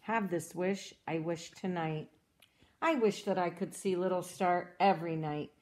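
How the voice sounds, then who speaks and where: A woman reading a children's storybook aloud as a cheerful young girl explorer, in a gentle, encouraging way that asks the listener questions. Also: lowish voice, a little slow, close to an everyday voice. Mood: upbeat. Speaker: storybook reader.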